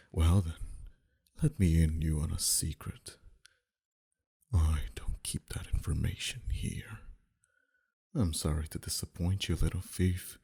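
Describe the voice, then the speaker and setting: Guy doing a slow super deep mafia boss, smug n flirty, menacing, breathy asmr roleplay. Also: full character voice. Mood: taunting. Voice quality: very deep, dark, husky. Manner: dominant, teasing, unhurried, with breathy whispered phrases. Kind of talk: intimate ASMR roleplay acting.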